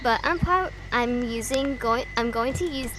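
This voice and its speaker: A woman's voice: on the high side, unhurried, background noise. A woman speaking through a voice changer, giving chatty, apologetic, rambling commentary as they play a game. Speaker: video game player recording for own channel. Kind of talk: casual gameplay commentary.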